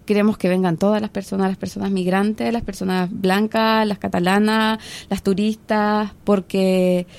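A woman from Chile, a researcher and podcast guest, speaking in an earnest, measured and passionate way. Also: medium pitch, level tone, vocal fry, a little mellow. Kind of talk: podcast interview.

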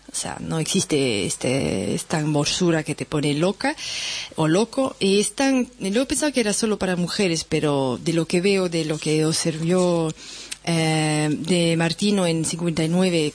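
A woman with a French accent talks through an informal presentation in a slightly low voice. She pauses often to search for words and corrects herself mid-phrase. Bursts of enthusiasm come through as she goes. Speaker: presenter sharing a reading.

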